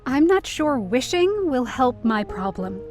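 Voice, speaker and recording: Woman playing a high-voiced, weary daughter, roomy sound. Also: big pitch swings, unhurried, a little mellow. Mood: worried. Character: weary, worried daughter narrating her own story. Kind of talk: first-person scripted narration in audio drama. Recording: roomy, distant mic, faint music behind.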